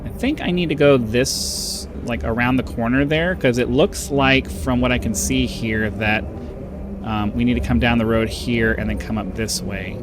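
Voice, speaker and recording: Nasal guy rambling through a let's play, lots of uhs, mic with the bass turned up, some hiss behind him. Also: normal speed. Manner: rambling, chatty, with frequent uhs and little laughs. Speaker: gaming channel host. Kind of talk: casual let's-play commentary. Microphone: desktop microphone with bass boosted by an equalizer.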